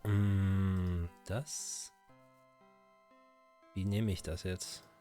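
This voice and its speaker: A man's voice: low voice, flat delivery, extremely slow, warm tone. A man doing casual game commentary, thinking aloud in short, murmured, musing reactions. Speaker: Let's Play presenter. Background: game music.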